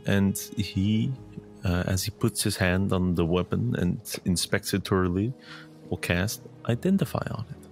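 Deep-voiced man narrating as a player. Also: unhurried, creaky, darkish tone, soft background music. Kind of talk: narrating his character in a tabletop game.

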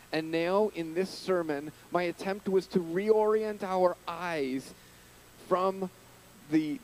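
A man in his thirties preaching a sermon into a handheld mic in a small church hall, earnest, lifting his voice on key points and dropping low at phrase ends. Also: a little mellow, slight background noise. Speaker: pastor. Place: church hall with curtains, small indoor stage.

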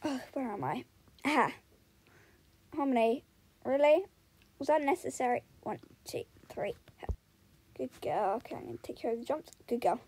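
A woman's voice: on the high side, sing-song, normal speed, pauses often, darkish tone, breathy edge. A young woman giving casual vlog commentary while riding, breaking off into short soothing commands and murmured praise to a horse. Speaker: horse rider in a voiced role-play video.

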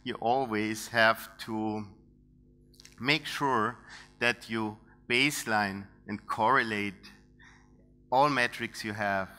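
An Austrian man in his thirties speaks from a big dark stage through a headset mic. His voice is bright and a little tense, set on the low side. He talks his way through a technical topic, thinking aloud with fillers and restarts. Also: fairly even intonation, slow pace, long pauses. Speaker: conference speaker. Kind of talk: technical conference talk. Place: large dark conference stage.

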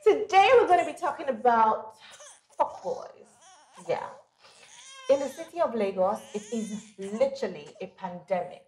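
A woman's voice: fairly high pitch, normal speed, darkish tone, a little hiss or hum, lightly acted. An actress playing a callous young woman vlogger who taunts and scolds in a mock-sweet, scornful way. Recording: echoey room with faint music.

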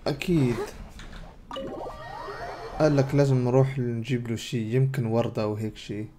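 A man's voice: medium pitch, steady tone, moderate pace, over music. Young Levantine man casually commenting on gameplay. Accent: Levantine Arabic. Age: young adult. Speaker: gaming streamer. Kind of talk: casual game playthrough commentary, retelling dialogue. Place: indoors at a computer.

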